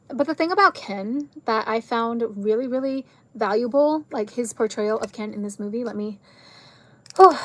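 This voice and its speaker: A woman's voice: fairly high pitch, darkish tone, no room sound. Chatty woman losing her voice. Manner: chatty, rambling, jumps between tangents; frequent fillers like "like" and "um". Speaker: video creator reviewing a film. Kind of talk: casual chat to camera.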